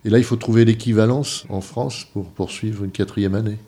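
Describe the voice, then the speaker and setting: A man's voice: lowish voice, fairly even intonation, normal speed, dark tone, husky. A man reporting and interviewing language learners, patiently repeating their answers back as questions.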